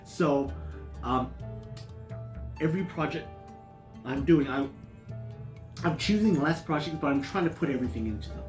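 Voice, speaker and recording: A man in his fifties muses in a slow, halting way, his pitch moving a lot as he restarts his thoughts. His voice sounds roomy, with clear guitar music playing behind it. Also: lively intonation, a little mellow. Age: 50s. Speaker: guest musician. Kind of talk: casual conversation.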